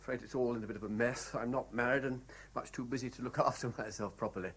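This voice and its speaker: An actor playing an earnest, insistent gentleman lawyer in a 1970s radio drama. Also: mid-range voice, steady tone, fast talker, husky. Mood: polite, hesitant. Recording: noisy old 1970s radio broadcast.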